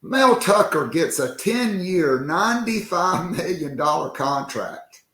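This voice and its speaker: A man hosting a sports podcast, talking casually and jokingly with a worn-out, hoarse voice. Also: a little high, lively intonation, sharp tone, roomy sound. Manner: loose and joking, slow drawn-out delivery. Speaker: podcast host.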